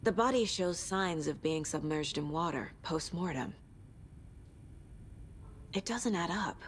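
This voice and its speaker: A woman playing a composed, analytical FBI agent in a video game, speaking in a measured, matter-of-fact way. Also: lowish voice, animated, a little hiss or hum. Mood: focused, pondering. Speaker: investigator.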